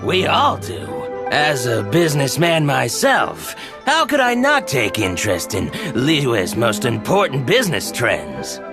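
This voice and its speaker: Genial merchant, slow and animated. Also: male voice, medium pitch, vocal fry, full character voice. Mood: eager, knowing. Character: genial, shrewd male harbor businessman. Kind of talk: video game character acting. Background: game music.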